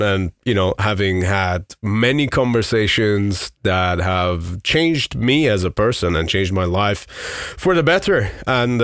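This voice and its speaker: A man's voice: on the low side, fairly bright tone, no echo, studio quality. A man in his thirties from Iran, hosting his own podcast in a candid, rambling way with many fillers. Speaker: podcast host. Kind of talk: casual solo podcast talk.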